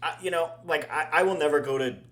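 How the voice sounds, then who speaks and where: A man's voice: on the high side, quick pace, a bit bright. A man co-hosting a podcast in casual chat, rambling, swearing and laughing often. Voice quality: ginger-haired dog owner talk, married. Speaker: podcast co-host.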